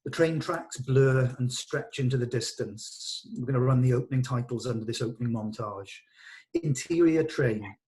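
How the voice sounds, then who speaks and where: A man's voice: medium pitch, moderate pace, a little mellow, low-quality recording. A man, speaking as a director in an informal rehearsal, in a hesitant, thoughtful and encouraging way. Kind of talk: informal rehearsal discussion, some reading of stage directions.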